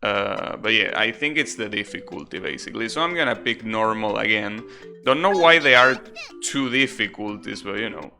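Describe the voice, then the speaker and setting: Bright-voiced man streaming over game music. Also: mid-range voice, vocal fry. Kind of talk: casual live gameplay commentary. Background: video game music.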